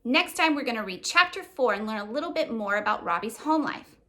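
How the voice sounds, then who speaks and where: A woman's voice: a little high, expressive, a little fast, a bit bright. A woman hosting a children's read-aloud, narrating and explaining things simply in a teacherly way.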